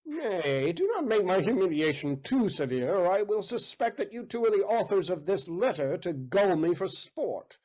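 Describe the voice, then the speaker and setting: A man's voice: high voice, very animated, moderate pace, hardly any pauses, darkish tone. A man acting a jealous, imperious count in a comic period play, haughty and theatrical. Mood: wounded, suspicious. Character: jealous, imperious count, suspicious of his servant.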